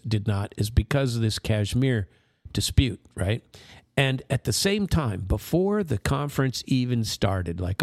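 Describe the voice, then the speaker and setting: A man's voice: fairly low pitch, a little mellow, no room sound, clean studio sound. An American man giving informal explanatory commentary, rambling into side stories and checking in with "right?" and "you know". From United States.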